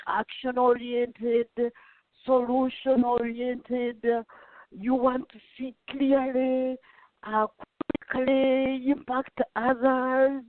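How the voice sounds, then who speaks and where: A woman hosting an interview, effusive and gushing, with halting phrasing. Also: fairly high pitch, no echo. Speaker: interviewer, host. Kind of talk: interview over a call. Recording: dry tinny phone-like line.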